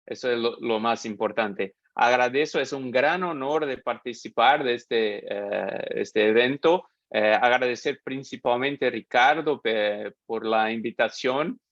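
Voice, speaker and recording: A Brazilian man with a Portuguese accent speaks slowly and haltingly, pausing often as he searches for words. He sounds like a guest speaker on a video call. The audio is dull and dry, with no room sound. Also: medium pitch, fairly bright tone, dry recording. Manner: halting, many hesitations, mixes Portuguese into Spanish. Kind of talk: thanking at the close of a webinar.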